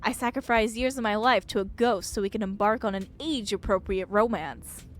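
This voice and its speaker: A woman's voice: mid-range voice, normal speed, a little hiss or hum, lightly acted. A woman voicing a cheeky game protagonist who flirts brazenly in a theatrical manner. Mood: brazen, flirty.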